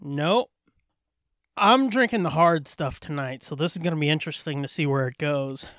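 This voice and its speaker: A man's voice: fairly high pitch, normal speed, fairly bright tone, no room sound, clean studio sound. A man co-hosting a podcast in casual comic banter, crude, profane and joking. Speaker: podcast co-host.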